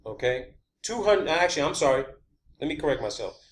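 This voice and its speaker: A man's voice: a little high, sing-song, brisk. A man giving an informal explanatory monologue, blunt and emphatic, repeating phrases for stress. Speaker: amateur presenter giving his own theories.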